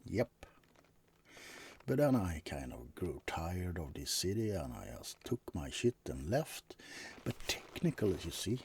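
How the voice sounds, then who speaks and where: A man playing a suave, teasing vampire in a soft, often whispered bedside ASMR roleplay, murmuring with dramatic pauses. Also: deep voice, sing-song, husky. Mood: chatty, indignant.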